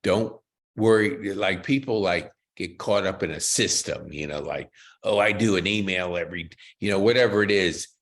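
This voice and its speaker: Enthusiastic, low-voiced male podcast host. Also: moderate pace, a bit bright. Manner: enthusiastic, folksy golf banter. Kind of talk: casual interview hosting.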